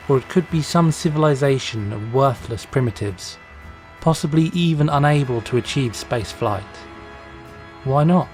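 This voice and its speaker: Male story narrator over faint music. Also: mid-range voice. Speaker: fan fiction reader, narrator. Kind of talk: dramatic scripted story narration.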